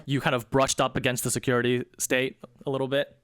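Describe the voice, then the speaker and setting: A man's voice: medium pitch, level tone, fairly bright tone, smooth, no room sound. Easygoing man interviewing, dry sound. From Michigan, USA. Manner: easygoing, quick agreeing backchannels. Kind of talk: casual podcast interview.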